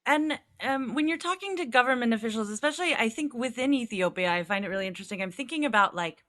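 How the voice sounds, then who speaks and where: A woman's voice: a little high, animated, quick pace, sharp tone, dry recording, studio quality. A woman from the United States hosting a podcast, asking curious questions in a casual way with frequent fillers. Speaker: podcast host.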